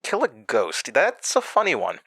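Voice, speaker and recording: A taunting, animated sinister entity. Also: male voice, fairly high pitch, measured pace, breathy edge, dry recording, exaggerated voice. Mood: smug, amused. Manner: mocking, sardonic, theatrical, toying menace. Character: taunting malevolent male entity borrowing a young man's voice. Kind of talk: horror audio drama acting.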